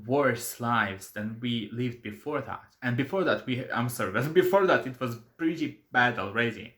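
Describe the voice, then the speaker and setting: A young man from Russia talks to his channel in accented second-language English, earnest and a little apologetic. He leans on fillers and stops to fix his own grammar, a vlogger thinking aloud. His voice sits at an ordinary man's pitch but rings bright and forward. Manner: earnest, many fillers and self-corrections, apologetic. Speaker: channel host. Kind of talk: casual opinion vlog.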